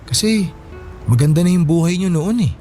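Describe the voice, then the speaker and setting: A polite, higher-voiced Filipino husband. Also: male voice, animated, normal speed. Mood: respectful, attentive. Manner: respectful, gentle, inquisitive. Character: polite, curious, kindly married working man. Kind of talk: Filipino radio drama acting. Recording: music behind the voice.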